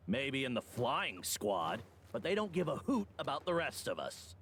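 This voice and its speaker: Scornful tribesman, swinging pitch. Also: sing-song, unhurried, a bit bright, a little hiss or hum. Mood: mocking. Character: scornful, mocking male tribesman spoiling for argument. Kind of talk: video game character dialogue.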